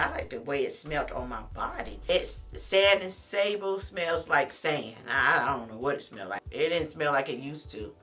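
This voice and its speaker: A middle-aged woman talks straight to camera with a deep but bright, ringing voice, chatty and animated. She calls the viewer "honey", and soft music plays faintly behind her. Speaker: lifestyle vlogger. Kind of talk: casual talk to camera.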